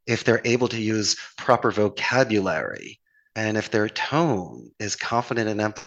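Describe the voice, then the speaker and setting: A man's voice: on the low side, normal speed, gravelly, lo-fi sound. A man with a Philadelphia accent giving friendly, rambling advice in a casual chat. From Philadelphia area, United States. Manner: animated, folksy, encouraging, rambling with restarts.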